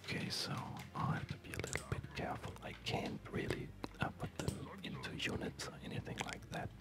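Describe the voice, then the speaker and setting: A man giving hushed, unhurried and rambling ASMR commentary over a game he is playing, speaking in a whisper. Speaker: gaming video host.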